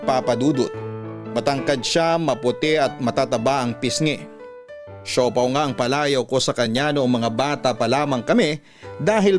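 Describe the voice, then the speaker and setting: A man with a Filipino accent reading a listener's letter as a scripted story in classic radio style. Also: medium pitch.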